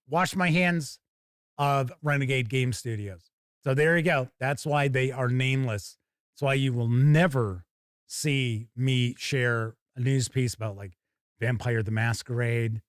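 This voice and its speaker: A man's voice: medium pitch, a little slow, no room sound, clean studio sound. A man hosting a live gaming news show, chatty and wry, moving between casual asides and reading out news copy. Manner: animated, wry, conversational.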